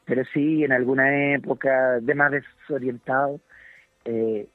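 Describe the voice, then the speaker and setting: A man's voice: medium pitch, steady tone, dry recording. Chilean man in his fifties on a phone line. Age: 50s. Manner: halting, repeats words while searching. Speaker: guest comedian. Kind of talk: relaxed interview chat. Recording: dry tinny phone line.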